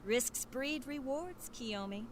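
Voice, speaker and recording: A woman playing a playful, reckless female scientist in a visual novel, teasing and defiant in a drawn-out way. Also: high voice, expressive, a little hiss or hum. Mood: playful, unrepentant.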